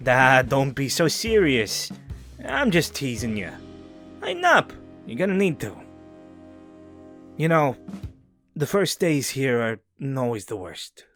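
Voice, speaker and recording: A man's voice: mid-range voice, wildly swooping pitch, slow, pauses often, fairly bright tone, faint music behind, exaggerated voice. A man playing a friendly, teasing crook in prison in an audio drama, talking in a playful, colloquial way. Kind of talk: audio drama character acting.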